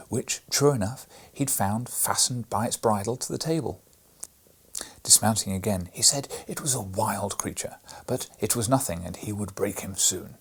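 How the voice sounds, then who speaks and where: A man reading a classic children's story aloud as a bedtime audiobook, narrating softly and often in a breathy, whispered way. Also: lowish voice.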